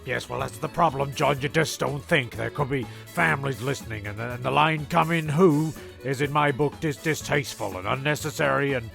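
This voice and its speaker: Gravelly, bright-voiced man hosting comedy. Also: medium pitch, normal speed, hardly any pauses, faint music behind. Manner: stammering, exasperated, mock-prim, sweary. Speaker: host and narrator of a comedy audio show. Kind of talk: mock-serious scripted narration with ad-libbed banter.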